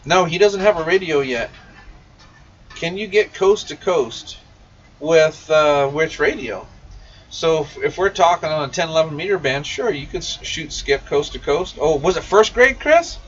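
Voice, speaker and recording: High-voiced man hosting, muffled distant mic. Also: lively intonation, normal speed, a bit bright, breathy edge. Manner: informal and enthusiastic, peppered with "man" and "dude". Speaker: CB radio shop technician and live stream host. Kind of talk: casual live stream chat, answering questions. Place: CB radio shop.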